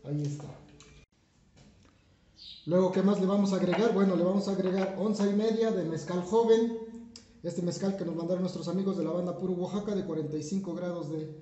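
A man explains a drink recipe step by step to viewers, unhurried, with many fillers and restarts. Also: high voice, fairly even intonation, mellow, slight background noise. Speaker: home bartender, channel host. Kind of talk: step-by-step cocktail tutorial. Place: home kitchen counter, indoors. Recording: roomy, dull lo-fi camera audio.

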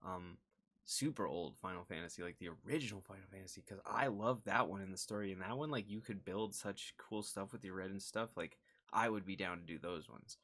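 A man's voice: low voice, fairly quick, fairly bright tone. A young adult man giving casual reaction commentary, seated indoors in a small room. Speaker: reaction video host.

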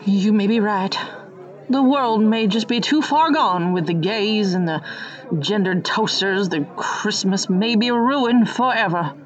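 A woman's voice: mid-range voice, measured pace, dull audio, some chatter behind, close to an everyday voice. A woman playing a pious, nostalgic small-town matriarch with a folksy, preachy manner in a satirical radio play. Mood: indignant, gloomy.

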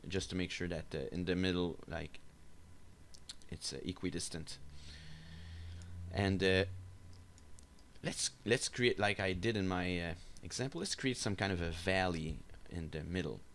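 A man giving an unscripted software screencast tutorial, explaining step by step in a halting, hesitant way. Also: sits low, slight background noise. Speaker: tutorial instructor. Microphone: voice-over for a screen recording.

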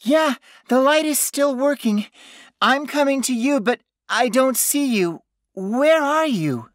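A frightened young woman in a horror audiobook. The voice is pitched very high and trembles as it pleads. It swings widely in pitch and whimpers, recorded dry and close. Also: lively intonation, takes it slowly, no room sound. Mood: urgent, anxious.

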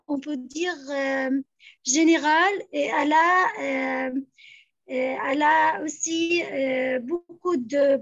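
A woman's voice: high-pitched, no echo. Hesitant young woman on a video call. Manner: hesitant, many fillers and restarts. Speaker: young activist speaking from Gaza. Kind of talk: answering a question on a video call. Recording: dull lo-fi video call audio.

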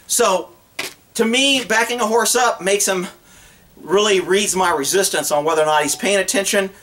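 High-pitched man talking horses casually. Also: normal speed, a little hiss or hum. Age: middle-aged. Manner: animated, blunt, folksy, acts out imagined dialogue. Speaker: horse trainer and vlogger. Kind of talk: casual off-the-cuff instructional talk to camera. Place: outdoors on open rural land.

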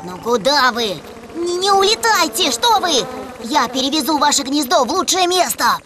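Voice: high voice, sing-song, normal speed, music in the background. An actor voicing a helpful, earnest young rescue helicopter in a children's cartoon dub. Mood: pleading, helpful.

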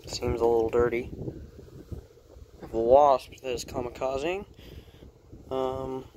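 A man with a mid-range voice talks through his work in an unscripted, casual way. He sounds offhand, as if half talking to himself at the bench. The handheld recording carries clear background noise in a small workshop. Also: medium pitch, fairly even intonation, a little slow, warm tone, low-quality recording. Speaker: hobbyist electronics repairer showing equipment. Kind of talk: casual unscripted show-and-tell narration.

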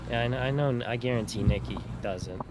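A man's voice: medium pitch, brisk. A man improvising casually as a mechanic in an online roleplay game, rambling and swearing as he talks. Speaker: roleplayer playing an auto shop mechanic. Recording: clearly noisy game voice chat, vehicle sounds.

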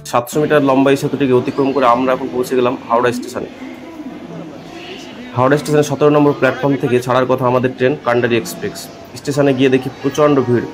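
A man narrating a train journey as a travel vlogger, explaining sights like a tour guide. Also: mid-range voice. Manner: fast, informative tour-guide telling. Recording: music bed under voice-over. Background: guitar music.

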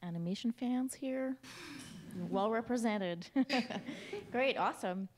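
Upbeat woman hosting a student panel on a handheld mic, slightly high voice, chatty. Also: slow pace, slight background noise. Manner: upbeat, chatty, laughs often. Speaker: panel moderator. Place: university lecture hall.

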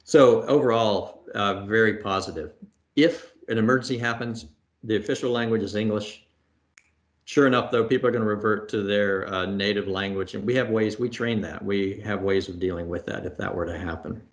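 A middle-aged man answering questions in a relaxed conversational way, pausing often with fillers and restarting phrases, over a video call from a room at home. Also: on the low side. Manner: thoughtful, many fillers and restarts. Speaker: astronaut guest. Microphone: video call webcam.

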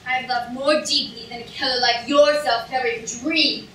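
Young woman doing a defiant grieving princess, high voice, stage reading in an echoey room. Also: steady tone, fairly quick, a bit bright, natural voice. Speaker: actor in a student staged reading. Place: lecture hall stage, indoors. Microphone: far camera in audience. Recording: echoey hall, distant audience-camera mic, noisy.

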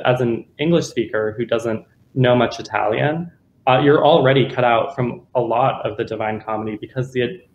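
A young man answers questions about his exhibition in a loose, chatty way, full of fillers. He speaks on a video call from a room at home, and the sound is roomy and echoey. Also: medium pitch. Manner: casual, chatty with many fillers. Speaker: guest curator. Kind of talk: answering questions after a lecture.

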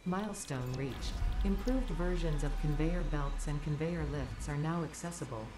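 A woman voicing a calm female computer announcer in a video game, giving clipped, even announcements. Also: deep voice, normal speed, close to an everyday voice. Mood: formal. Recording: echoey, distant, over game music.

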